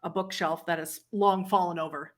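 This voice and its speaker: A woman's voice: on the low side, fairly even intonation, moderate pace. A woman acting as game master, narrating a tabletop roleplaying game, explaining rules and describing scenes to players.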